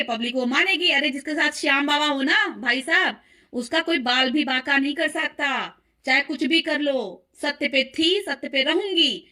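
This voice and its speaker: High, bright-voiced woman making insistent accusations. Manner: accusatory, insistent, addressing listeners directly. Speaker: vlogger presenting call recordings. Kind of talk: heated commentary to viewers.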